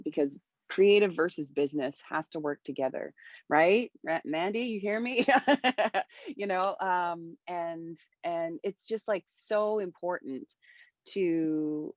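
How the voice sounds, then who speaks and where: A woman's voice: lowish voice, measured pace, dry recording, highs cut off. A woman in her thirties giving mentoring advice on a video call, talking with energy and many hand gestures. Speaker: music mentor. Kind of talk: panel talk on recording. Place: home room with bright wall. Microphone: wireless earbuds on video call.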